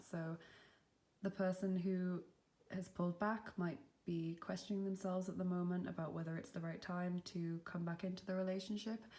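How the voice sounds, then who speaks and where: A young woman with a cold and a slightly sniffly voice, giving a gentle, reassuring tarot reading with some softly whispered asides. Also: on the low side. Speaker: tarot reader. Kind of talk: pick-a-card tarot reading.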